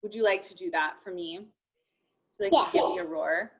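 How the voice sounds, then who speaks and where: A woman reading a picture book aloud to young children in an expressive, encouraging way, talking directly to them. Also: fairly low pitch, fairly quick, over a noisy crowd.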